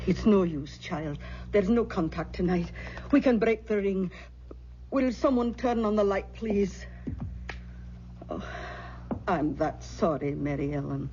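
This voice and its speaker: An actress playing a kindly, anxious older aunt, tender and fretful, in old-time radio drama style. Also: female voice, medium pitch, animated, measured pace, long pauses, warm tone. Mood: solemn. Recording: muffled, very noisy old radio recording.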